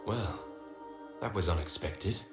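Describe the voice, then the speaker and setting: An actor playing a steady, dryly sardonic heroic commander in a fantasy game. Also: male voice, low voice, slow pace. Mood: dryly surprised. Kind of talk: dramatic fantasy game acting. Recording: echoey, music behind.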